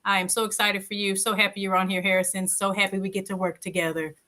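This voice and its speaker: Bright, upbeat woman host. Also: medium pitch, little pitch movement, fast. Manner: upbeat, enthusiastic. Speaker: doctor hosting a live stream. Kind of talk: casual live-stream chat.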